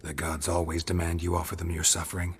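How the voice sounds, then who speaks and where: A gruff, very deep monster hunter. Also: male voice, completely flat delivery, fast talker, full character voice. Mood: probing. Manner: terse, clipped, matter-of-fact. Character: gruff grizzled male monster hunter, terse and dry. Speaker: game protagonist.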